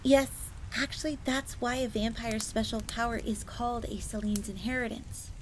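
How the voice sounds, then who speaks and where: A high-pitched young fox maid, played by a woman, earnest and secretive. She confides like a servant sharing castle lore in an audio roleplay, with a crackling fire audible behind her. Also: fairly even intonation, normal speed, lightly acted. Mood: explaining, curious. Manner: speaks of herself in third person, confiding.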